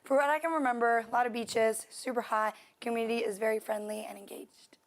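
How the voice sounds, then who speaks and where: A woman's voice: a little high, fairly even intonation, a little fast. A woman in her twenties, from Puerto Rico, answering interview questions in a casual way with filler words. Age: 20s. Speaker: student athlete guest.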